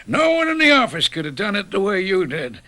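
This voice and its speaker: A man's voice: high voice, huge pitch swings, fairly quick, pushed and bright, heavily acted. An actor in a radio drama playing a hearty older company boss who chuckles as he talks. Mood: jovial.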